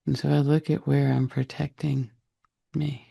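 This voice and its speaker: A man leading a guided meditation in a soft, breathy, husky voice, speaking deliberately in short phrases with long pauses. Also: fairly high pitch, flat delivery. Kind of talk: guided meditation, slow soothing narration.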